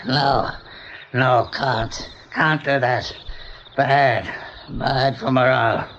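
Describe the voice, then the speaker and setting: An actor playing a wounded, proud male rabbit general in a radio play, speaking in halting, laboured phrases. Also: medium pitch, steady tone, vocal fry, a bit bright, full character voice. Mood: exhausted, gruff. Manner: halting, laboured, repeating himself. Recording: dull noisy 1980s radio recording.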